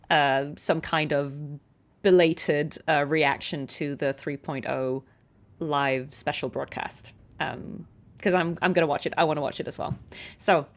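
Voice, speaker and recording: Chatty woman streamer, low gravelly-edged voice. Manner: chatty, giggly, affectionate toward viewers. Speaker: streamer and voice actress. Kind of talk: casual livestream chat to viewers. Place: at home.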